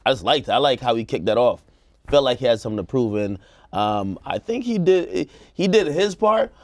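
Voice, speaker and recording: A man's voice: mid-range voice, lively intonation, a little fast, a little mellow, no room sound. A man co-hosting a casual music discussion show, hedging and qualifying his opinions as he talks. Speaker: talk show co-host.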